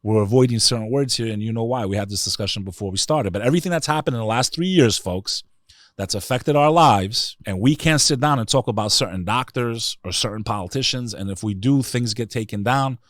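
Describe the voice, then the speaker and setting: A man's voice: mid-range voice, brisk, a bit bright, no echo, clean studio sound. A middle-aged man, speaking earnestly and emphatically with rhetorical questions, as an interview guest giving opinions and personal stories. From New York City area, United States. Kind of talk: impassioned opinion and personal storytelling.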